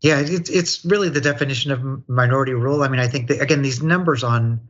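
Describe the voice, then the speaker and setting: An American man offers opinionated commentary as a panel guest. His mid-pitched voice is earnest, and he often doubles back on words while making a point. The recording sounds slightly dull and lo-fi. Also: fast talker, a bit bright.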